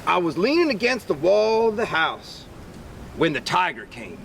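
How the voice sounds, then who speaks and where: Male teacher type for picture-book read-aloud: high, animated voice with some fry, recorded a bit off-mic. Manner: animated, pausing to quiz listeners. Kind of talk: expressive children's read-aloud. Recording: distant mic, rumbly background noise.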